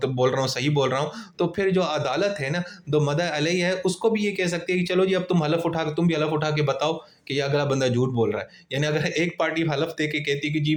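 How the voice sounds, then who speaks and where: Pakistani man giving an informal law lecture. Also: fairly high pitch. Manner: conversational, explains with everyday examples and acted-out questions.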